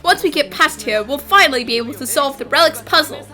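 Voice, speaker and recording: A woman plays an eager young cartoon detective. The voice is high and lively, rising and falling with earnest, plucky expression. Faint music is mixed in. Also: fast talker. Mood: excited, determined. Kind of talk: English fan dub of animated mystery.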